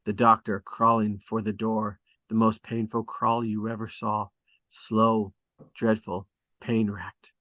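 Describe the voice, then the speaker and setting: A man reading screenplay stage directions as scripted narration, with deliberate dramatic emphasis. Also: lowish voice, level tone, sharp tone, no room sound. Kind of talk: scripted narration at a table read.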